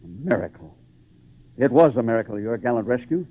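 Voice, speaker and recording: A dignified sea captain played by a man talks with halting theatrical wonder and wide swings of pitch. The sound is a narrow, tinny old radio broadcast. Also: expressive, lightly acted. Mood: amazed, grateful. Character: grateful, dignified sailing-ship captain, full of wonder. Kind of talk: 1940s radio drama acting.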